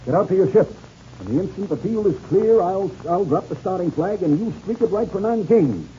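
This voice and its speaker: A flustered, commanding official in a 1930s radio serial. His voice is high and swooping yet very soft-edged, urgent on a dull old recording. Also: lively intonation, brisk, a little hiss or hum. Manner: urgent, emphatic. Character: flustered but commanding male race official.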